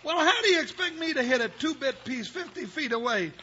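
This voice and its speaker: An American man playing an excitable, heavyset comic sidekick in an old radio western, with a squawky voice. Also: extremely high pitch, a little fast. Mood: comic complaining. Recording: noisy, muffled old radio recording.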